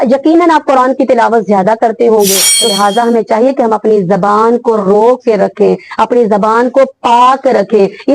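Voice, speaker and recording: A woman teacher delivers a religious lecture in a fairly high voice. She talks in rhetorical runs of repeated parallel phrases. Faint music plays behind her. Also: low-quality recording. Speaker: Islamic teacher.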